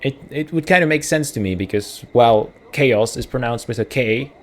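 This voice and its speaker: Let's-play host: a Czech-accented man of middle pitch, musing and correcting himself as he reads game dialogue aloud. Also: normal speed. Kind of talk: casual gameplay commentary, reading game text aloud.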